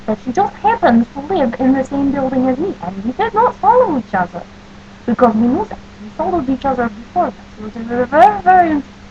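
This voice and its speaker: A woman with a New Jersey accent answering questions for an audio post in stiff, overly formal phrasing with deadpan silliness. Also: high-pitched, a little fast, highs cut off, a little hiss or hum.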